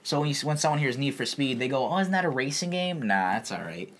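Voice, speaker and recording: Guy on a podcast giving casual opinions, really lively up and down voice, slangy. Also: medium pitch, fast talker. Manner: informal, slangy, explains by acting out imagined reactions. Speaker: podcast co-host.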